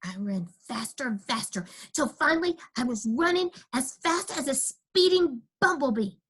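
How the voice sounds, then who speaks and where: A woman's voice: high-pitched, animated, moderate pace, fairly bright tone, husky, pitched above the performer's own voice, heavily acted. A woman voicing a cheeky, stubborn kindergarten girl who tells her own story with childish, emphatic, dramatic delivery. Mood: scheming. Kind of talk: first-person children's storybook reading.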